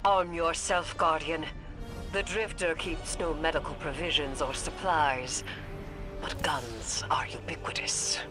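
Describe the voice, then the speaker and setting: An actress playing a grave, composed ally who guides the hero in a formal, matter-of-fact way in a video game. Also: female voice, deep voice, a little slow. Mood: grave, commanding. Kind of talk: video game dialogue acting. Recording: loud music underneath.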